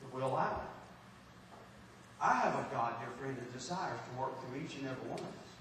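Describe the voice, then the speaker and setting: A man from Texas with a Texan accent preaching a folksy sermon, repeating and restarting words with loud and soft swings. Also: medium pitch, lively intonation, brisk. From Texas, USA. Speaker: pastor. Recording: echoey hall from far off, faint noise.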